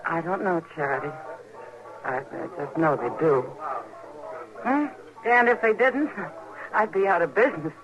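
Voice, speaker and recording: An actress playing a frank, warm-hearted American saloon woman in an old-time radio Western. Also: lowish voice, animated, unhurried, long pauses, dull audio. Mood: gentle, wistful. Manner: wry, frank. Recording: vintage radio drama with music.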